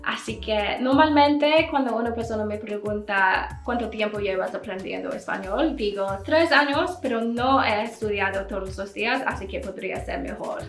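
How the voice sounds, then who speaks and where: A woman from England with an English accent, speaking her second language in a casual Q&A vlog, chatty and quick to laugh, with small learner slips. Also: fairly high pitch, fairly bright tone, roomy sound, soft background music.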